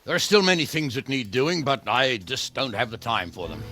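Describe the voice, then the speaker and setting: A man's voice: medium pitch, very animated, normal speed, pushed and bright, soft background music, exaggerated voice. A man playing a brusque, overworked medieval military officer in a video game. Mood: harried, curt. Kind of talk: dramatic video game acting.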